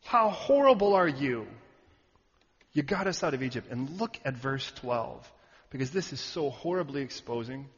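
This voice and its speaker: A man preaching a sermon, animated and joking with the audience, sometimes shouting out a word. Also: medium pitch, moderate pace. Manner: animated, shouting at peaks, jokey asides to the audience. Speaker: pastor. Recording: muffled hall recording.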